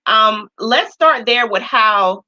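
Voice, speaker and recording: Bright-voiced woman host, lo-fi sound. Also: a little high, animated, takes it slowly. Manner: warm-hearted, affirming, many "mm" and "wow". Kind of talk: casual interview. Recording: dull lo-fi sound.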